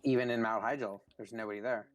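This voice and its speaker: A man's voice: on the low side, steady tone, fast. A man from California with a Californian accent, chatting casually with a gaming friend in a rambling, hesitant way. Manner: rambling, hesitant, lots of "dude" and "like". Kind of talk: casual gamer chat.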